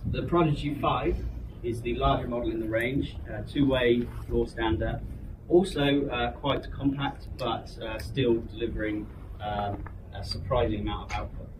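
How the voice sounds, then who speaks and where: A man's voice: medium pitch, fairly even intonation, normal speed. Distant male presenter in a noisy hall. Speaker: company presenter. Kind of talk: product presentation. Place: indoor trade show demo room. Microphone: camera some distance away. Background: clear room ambience noise.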